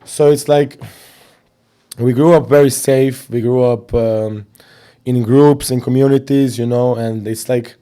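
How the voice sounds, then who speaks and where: A young man from Serbia, a boxing coach, chatting casually as an interview guest in animated storytelling fashion. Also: medium pitch, unhurried, clear, resonant tone. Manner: animated storytelling with slang fillers.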